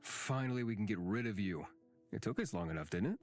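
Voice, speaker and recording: Game role: blunt, needling guy, lowish pitch, dry delivery over music. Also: lively intonation, a little fast, a little mellow. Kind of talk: visual novel voice acting. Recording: music under the voice.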